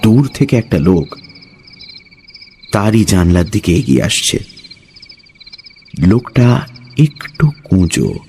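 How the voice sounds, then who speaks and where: Slow, animated male horror storyteller. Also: fairly low pitch, gravelly, slight background noise. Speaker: storyteller, narrator. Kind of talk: dramatic scripted horror story narration.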